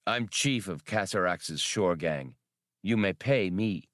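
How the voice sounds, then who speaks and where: A man voicing an arrogant, menacing dock gang boss in a storybook, high-handed and threatening. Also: lively intonation, takes it slowly, fairly bright tone, no room sound, clean studio sound, full character voice. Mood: smug, demanding.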